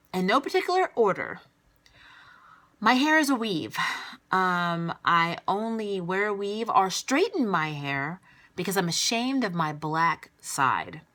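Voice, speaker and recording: A woman's voice: on the low side, bright, forceful tone. A woman vlogger speaking straight to camera, answering her critics in an exasperated, emphatic and blunt way. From United States. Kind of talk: direct-to-camera rebuttal vlog.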